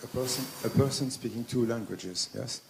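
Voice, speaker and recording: A man in the audience asking a spontaneous question, halting with many fillers and self-corrections. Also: mid-range voice, creaky, very noisy.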